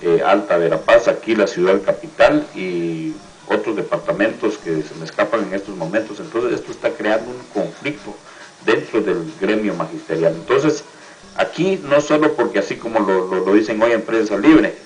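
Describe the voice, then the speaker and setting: A man with a Guatemalan accent, giving political commentary as a union representative, often halting and repeating words. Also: lowish voice, animated, rough recording. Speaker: teachers' union representative, interview guest.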